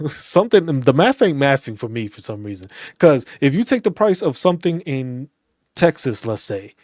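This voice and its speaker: A man, a veterans' channel host, speaking in a casual, animated rant, often restarting and repeating phrases. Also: mid-range voice, normal speed, no echo, studio quality. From New York City. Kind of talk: casual opinion talk.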